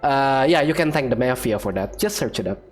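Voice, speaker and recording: A woman with a very low, lively voice gives casual let's-play commentary. The delivery is halting and bemused, often repeating phrases, with game music behind it.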